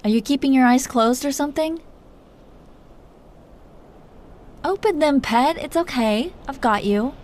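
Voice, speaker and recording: A woman's voice: high voice, moderate pace, a little mellow, a little hiss or hum, natural voice. A woman playing a protective, doting dragon queen who teases her lover tenderly in an intimate roleplay. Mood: protective, encouraging. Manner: tender, playfully teasing, breathy whispers at times. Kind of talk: intimate roleplay audio, soft acting.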